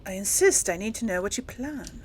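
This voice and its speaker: A woman's voice: mid-range voice, expressive, a little fast, a little mellow, slightly rough. A woman hosting a casual role-play game playthrough, thinking aloud and hesitating as she goes. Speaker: video game player and series host.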